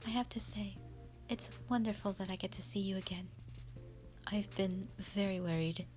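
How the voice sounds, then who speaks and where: A woman playing a strict, commanding mother who leads a warrior band, speaking sternly and deliberately in a roleplay audio drama addressed to the listener. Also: mid-range voice, a little mellow, soft background music, lightly acted. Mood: elated, doting. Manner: stern, deliberate, halting delivery with sighs.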